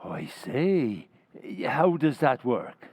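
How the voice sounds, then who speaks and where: A man playing a slow, dim-witted barfly in an old-time radio comedy recreation. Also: mid-range voice, very animated, a little mellow. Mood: dopey. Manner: slow, dopey, simple-minded. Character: dim-witted young male barfly Finnegan.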